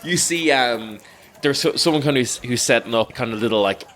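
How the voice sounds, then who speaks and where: A man narrating an improvised scene as game master in an informal, halting, conversational way. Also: medium pitch, fairly bright tone, a little hiss or hum.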